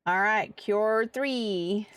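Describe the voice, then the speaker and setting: A woman's voice: medium pitch, extremely slow, a bit bright, clear, resonant tone, no room sound, faint music behind. A woman giving casual, playful commentary on a gaming livestream.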